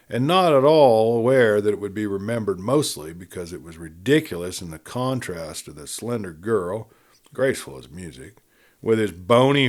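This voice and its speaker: A man reading a western novel aloud as an audiobook narrator, with expressive, dramatic storytelling. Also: on the low side.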